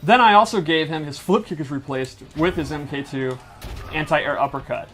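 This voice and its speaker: A man's voice: on the high side, moderate pace, a bit bright. A man giving a casual, enthusiastic gameplay demonstration with slangy wording, as a game developer would.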